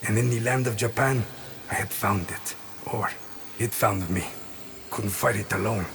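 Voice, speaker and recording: An actor playing a weary, guilt-ridden but resolute veteran assassin, narrating his past in a solemn, measured video game voice-over. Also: on the low side, noisy background, close to an everyday voice. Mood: brooding, resolute. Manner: brooding, measured, literary.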